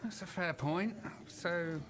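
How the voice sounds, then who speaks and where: Man playing a higher-pitched, inquisitive detective. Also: slow, music in the background. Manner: short, direct questions. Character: male police detective colleague, inquisitive and blunt. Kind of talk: amateur radio drama acting.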